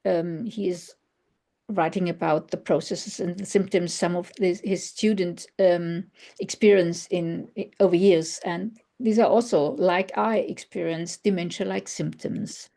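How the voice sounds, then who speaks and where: A woman with a German accent, a non-native English speaker, giving an online conference presentation in a halting, thoughtful way, with many fillers and restarts. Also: fairly low pitch, fairly even intonation, creaky, dark tone. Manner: thoughtful, searching for words. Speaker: researcher presenting.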